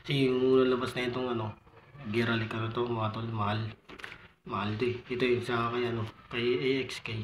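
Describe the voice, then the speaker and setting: Flat-toned Filipino man, echoey noisy recording. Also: medium pitch, fairly quick. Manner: repeatedly addresses viewers as buddies, informal. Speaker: vlogger reviewing motorcycle gear. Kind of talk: casual vlog product walkthrough. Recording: echoey room with clear background noise.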